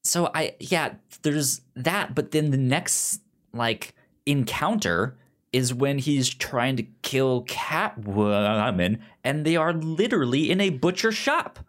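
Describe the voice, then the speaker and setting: A podcast co-host with a mid-pitched voice of ambiguous gender talks in a casual, conversational way. The delivery is halting, with frequent stammers and repeated syllables while working through a point. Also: lively intonation, a bit bright. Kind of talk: casual comic and film discussion.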